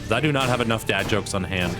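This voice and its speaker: A man with a somewhat low voice chats loosely while he plays, circling his points and poking fun at himself. Game music runs clearly under his talk. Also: fast. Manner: rambling, many fillers and restarts, self-deprecating. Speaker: streamer. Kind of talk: casual chat while gaming.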